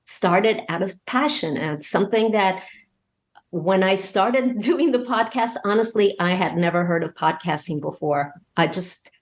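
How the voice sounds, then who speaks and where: A woman talking casually as an interview guest, excited and halting, often repeating words as she goes. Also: medium pitch. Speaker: podcast guest, audio drama creator.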